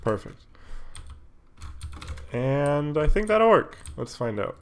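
A man's voice: fairly high pitch, sing-song, takes it slowly. A young man narrating live coding casually, muttering absent-mindedly to himself as he types. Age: young adult. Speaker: programmer livestreamer. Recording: noisy room with keyboard clicks. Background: typing, clicks, faint noise.

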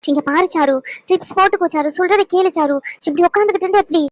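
A woman playing a brisk, coaxing nurse. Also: extremely high pitch, dry recording.

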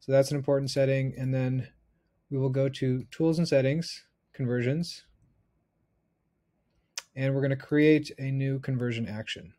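A man in his thirties giving a casual software tutorial, informally thinking aloud as he goes step by step. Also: mid-range voice, fairly even intonation, normal speed, long pauses. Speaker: instructor.

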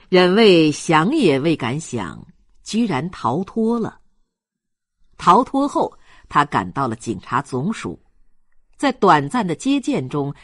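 An audiobook narrator reading a novel in a measured, expressive storytelling style. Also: medium pitch, breathy edge, dry recording, studio quality. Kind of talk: scripted novel narration, slow dramatic storytelling.

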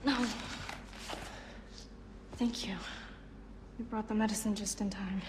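A woman's voice: medium pitch, steady tone, slow pace, lightly acted. An actress playing a plucky young woman in a video game, eager and girlish in manner. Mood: weak, worried. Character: earnest, plucky young woman, hero's companion. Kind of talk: cinematic video game acting. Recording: game ambience noise behind.

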